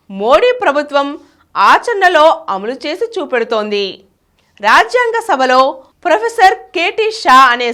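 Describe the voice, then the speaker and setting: Female narrator, high and forceful, animated intonation, formal essay read. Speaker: reader of a written essay. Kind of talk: scripted narration of a political essay.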